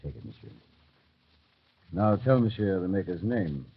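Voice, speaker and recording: A muffled vintage radio recording features a patient guardian figure. His voice is low and husky, his prompting plain and deliberate in radio drama style. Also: lively intonation. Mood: patient, coaxing. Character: patient, protective man tending a broken prisoner. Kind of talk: mid-century radio drama acting. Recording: muffled old radio broadcast.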